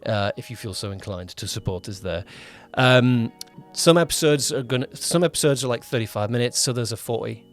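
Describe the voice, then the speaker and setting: A man's voice: medium pitch, animated, a little fast, few pauses, faint music behind. A man co-hosting a casual behind-the-scenes podcast as a producer, rambling and often rewording himself mid-sentence. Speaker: podcast co-host, audio drama producer-director.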